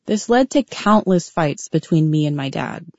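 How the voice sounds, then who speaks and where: A woman in her twenties narrating her own story in a reflective, measured, scripted way. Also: sits low, animated, gravelly, no echo. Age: 20s. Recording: dull, muffled audio.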